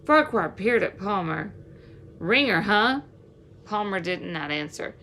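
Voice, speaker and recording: A woman reading a children's novel aloud as a teacher would, with an expressive, instructive delivery. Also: fairly low pitch, moderate pace, creaky, a little hiss or hum. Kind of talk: storybook read-aloud narration.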